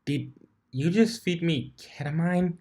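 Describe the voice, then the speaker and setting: Man putting on an indignant, higher-pitched female unicorn librarian. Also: takes it slowly, darkish tone. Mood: shrill alarm. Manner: exclamatory, indignant. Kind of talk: fan fiction reading with character voices.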